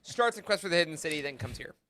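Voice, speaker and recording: A man on a podcast panel chatting casually about stories, with excitable, emphatic interjections. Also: a little high, quick pace, a little hiss or hum. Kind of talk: casual fan discussion.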